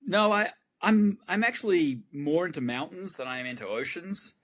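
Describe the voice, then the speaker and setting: A man's voice: high voice, moderate pace. Middle-aged aussie guy rambling on a podcast, easygoing, lots of ums. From Australia. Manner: relaxed, rambling with fillers. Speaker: guest astrophysicist. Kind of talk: casual chat.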